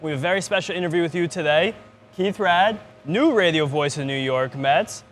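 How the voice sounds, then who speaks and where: A man's voice: a little high, fairly quick. A man hosting a podcast interview on location, putting questions in an upbeat conversational style. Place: spring training ballpark. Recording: faint background ambience noise.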